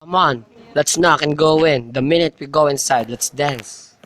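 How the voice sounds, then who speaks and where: A man's voice: on the high side, moderate pace, fairly bright tone, natural voice. A young man in an amateur radio drama, playing a practical, no-nonsense friend in a matter-of-fact way. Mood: urging. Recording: clearly noisy amateur recording with clicks.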